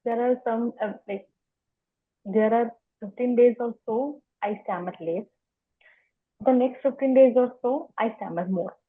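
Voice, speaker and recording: A woman's voice: a little high, unhurried, long pauses, narrow, tinny audio. A woman who stammers, repeating words and sounds as she answers interview questions. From India. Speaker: interview guest. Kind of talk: conversational interview.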